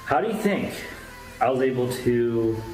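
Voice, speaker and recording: A man's voice: medium pitch, animated, normal speed, faint music behind. A man speaking candidly to his viewers about himself in a personal streamer monologue. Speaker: YouTuber streamer. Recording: loud-enough roomy echo with background noise.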